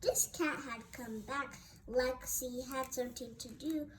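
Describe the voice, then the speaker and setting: Slow, high-voiced female storybook reader. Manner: halting, often stumbling over and repeating words. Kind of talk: read-aloud of a rhyming children's Christmas story. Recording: noticeable background noise.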